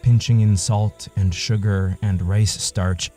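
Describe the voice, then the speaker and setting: Deep, slow, mellow male story narrator. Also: steady tone, soft background music. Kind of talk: scripted literary story narration.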